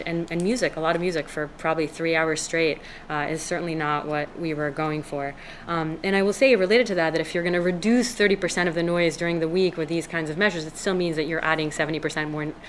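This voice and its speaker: Low-voiced woman giving earnest public comment. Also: slight background noise. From Israel. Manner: earnest, hesitant, many fillers and restarts. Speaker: resident speaking at a public hearing. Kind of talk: public comment at a town hearing. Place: town meeting room.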